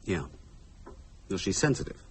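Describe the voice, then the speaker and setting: An actor playing a wry, articulate young British husband who teases morbidly in a radio thriller. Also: male voice, a little slow, a little mellow, slight background noise, natural voice. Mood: sombre recollection. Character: sardonic, teasing young British husband, educated and morbidly playful. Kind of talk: radio thriller drama dialogue.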